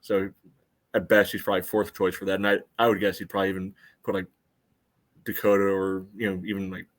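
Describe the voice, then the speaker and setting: A man co-hosting a soccer podcast, thinking aloud in casual analysis with a measured, hedging manner. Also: deep voice, flat delivery. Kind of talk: casual sports analysis chat.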